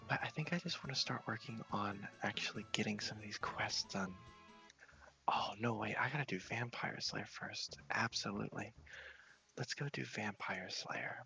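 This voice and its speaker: Chill guy doing soft asmr gaming on a headset, crisp bright edge, lots of fry, rambles along, bit whispery. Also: lowish voice, soft background music, low-quality recording. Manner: casual, unhurried, rambling. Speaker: host of a gaming channel. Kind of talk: soft-spoken ASMR gameplay commentary. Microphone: headset microphone.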